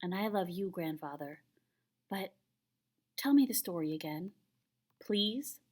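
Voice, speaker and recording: A woman's voice: on the low side, measured pace. Woman voicing an eager, insistent little storybook boy. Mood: pleading, loving. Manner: pleading, excited questioning. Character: eager, insistent young boy begging his grandfather for stories. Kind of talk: children's picture book read aloud.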